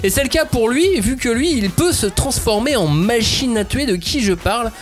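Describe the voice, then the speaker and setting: A young man hosting a manga debate podcast with an energetic, announcer-like delivery. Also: very high voice, moderate pace, soft background music. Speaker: podcast host. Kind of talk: lively panel debate hosting.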